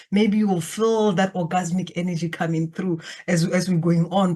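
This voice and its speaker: Calm woman with a Botswana accent. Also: on the low side. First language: Setswana. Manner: slow, calm, speaks directly to listeners. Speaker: podcast guest, coach. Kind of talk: guided reflective invitation. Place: remote, in Germany.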